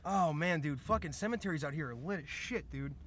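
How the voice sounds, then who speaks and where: A man's voice: fairly high pitch, animated, brisk. A man in his thirties from Ohio, co-hosting a podcast with casual, rambling, profane banter from a car. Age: 30s. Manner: rambling, swears a lot. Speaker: podcast co-host. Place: in a car driving outdoors. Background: vehicle and street noise.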